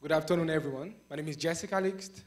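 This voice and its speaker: Young man, Haitian accent, higher voice, student debate candidate delivering an opening statement haltingly. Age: young adult. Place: on stage at a live debate.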